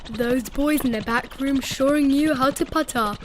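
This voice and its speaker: A woman's voice: sits high, measured pace, slight background noise. A British woman narrating a children's storybook aloud in a dramatic, theatrical storytelling manner. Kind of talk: expressive children's read-aloud. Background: music.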